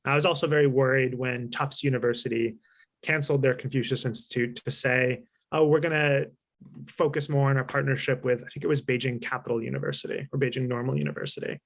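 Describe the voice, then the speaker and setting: A man giving measured, articulate, opinionated answers as a guest author and consultant in an online talk. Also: mid-range voice, steady tone, creaky. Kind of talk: explanatory interview answers at a virtual talk.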